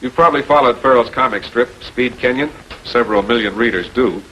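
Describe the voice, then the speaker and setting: Composed 1940s prosecutor played by an actor, noisy soundtrack. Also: male voice, medium pitch, fairly bright tone, lo-fi sound, natural voice. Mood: explaining. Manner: measured, questioning, authoritative. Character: calm, probing male public prosecutor, quietly persistent. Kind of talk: 1940s filmed crime drama acting.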